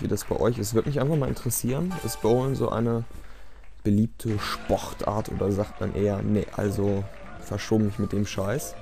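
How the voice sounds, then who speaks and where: A man's voice: on the low side, warm tone. A man giving casual, self-deprecating gameplay commentary in a resigned, rambling way. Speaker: let's play commentator. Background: game music.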